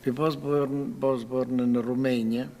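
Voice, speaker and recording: A man's voice: mid-range voice, flat delivery, unhurried, warm tone, big-room sound. A ninety-year-old man from Moldova, speaking broken English with good humour. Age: 90s. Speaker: interviewee. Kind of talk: personal story told in an interview.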